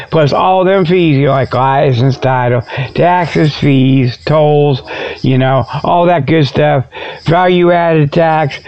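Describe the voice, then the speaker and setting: A man's voice: fairly high pitch, a little mellow, highs cut off. A man hosting a comedy show with a rambling, tongue-in-cheek monologue, folksy and colloquial, drifting off on tangents. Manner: folksy, colloquial grammar, digressive.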